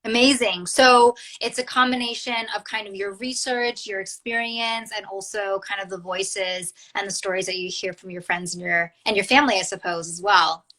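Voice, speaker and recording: A woman's voice: medium pitch, fairly even intonation, moderate pace, few pauses, pushed and bright. A woman interviewing an author, asking long, thoughtful questions with many hedges. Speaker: interviewer, co-host. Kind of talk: conversational interview.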